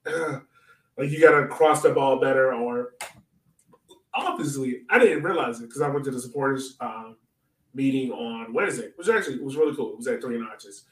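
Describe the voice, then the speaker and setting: A man's voice: medium pitch, fairly bright tone. A man co-hosting a sports podcast, chatting casually about soccer and telling stories in informal slang. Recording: roomy echo, distant from mic.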